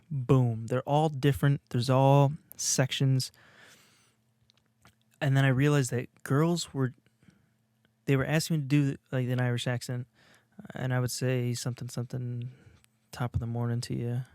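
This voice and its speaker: A man's voice: medium pitch, a little mellow, no room sound, studio quality. A young man, co-host of a podcast, rambling through a casual, self-mocking comedic monologue full of restarts.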